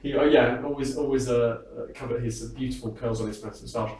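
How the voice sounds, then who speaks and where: A British man talks casually in an interview, his voice set back from the microphone in a very echoey room. He rambles amiably through his answers, hesitating often and gently poking fun at himself. His pitch sits in an ordinary male range. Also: steady tone, fairly quick, far from the mic. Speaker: interviewed singer and entertainer. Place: backstage at a music hall.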